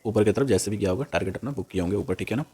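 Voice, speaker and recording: A young man giving an informal, unscripted tutorial, repeating himself and often tagging phrases with a quick check word. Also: on the low side, dark tone, slightly rough. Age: young adult. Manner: repetitive, with a frequent "okay" tag after phrases. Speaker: stock trading tutor on YouTube.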